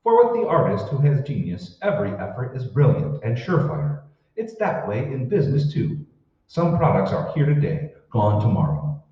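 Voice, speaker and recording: An earnest old-time radio announcer, played by a man, formal and measured with a widely varied pitch. He sounds far from the mic in a big echoing hall. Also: natural voice. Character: smooth, earnest 1940s radio show announcer. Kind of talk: 1940s radio sponsor announcing. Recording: echoey hall, distant mic, muffled.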